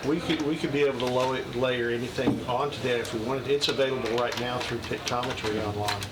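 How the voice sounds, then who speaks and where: County official, plain and halting, roomy distant sound with noise behind. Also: male voice, mid-range voice. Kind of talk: committee meeting discussion. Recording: roomy hall, distant mic, steady noise.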